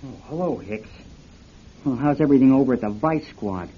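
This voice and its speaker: An actor playing a sleazy, gruff nightclub owner in a radio drama, talking in dismissive, slangy tough talk. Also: male voice, medium pitch, expressive, brisk. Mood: defensive. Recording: dull lo-fi tape with faint noise.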